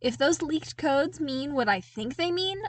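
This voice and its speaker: A woman's voice: high-pitched, lively intonation, measured pace, voice pushed up. A woman voicing a frank, teasing, loyal male soldier in an audiobook, wry and familiar but deferential. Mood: reassuring. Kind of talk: audiobook character dialogue.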